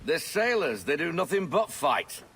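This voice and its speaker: A salty old sailor, gruff and blunt, from a game drama. The voice is gravelly and fairly high, drawn out at an unhurried pace. He sounds cantankerous throughout. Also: sing-song, background noise. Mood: grudging. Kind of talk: dramatic video game acting.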